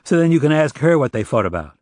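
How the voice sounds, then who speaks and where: A man's voice: a little high, big pitch swings, quick pace, darkish tone, no room sound, studio quality, voice pushed up. A man voicing a defensive, suspicious young man being questioned by police, curt and guarded, in an audiobook. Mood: irritated, challenging. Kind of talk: audiobook character dialogue.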